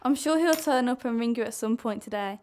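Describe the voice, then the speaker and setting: Girl acting supportive friend in a radio play, talks fast, highish voice, indignant. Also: darkish tone, a little hiss or hum, lightly acted. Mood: reassuring. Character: indignant, supportive female confidante of a troubled wife.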